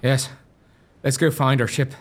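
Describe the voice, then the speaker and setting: A man's voice: medium pitch, steady tone, unhurried, breathy edge. A man playing a cheeky working-class sidekick, jaunty and colloquial, in a gothic comedy audio drama.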